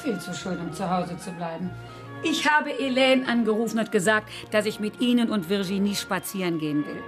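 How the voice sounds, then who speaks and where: A chatty, motherly female carer in a radio play acted by a woman. She talks in a homely, fussing way at a medium pitch. Music plays clearly behind her. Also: expressive, a little fast, lightly acted. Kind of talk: radio drama dialogue.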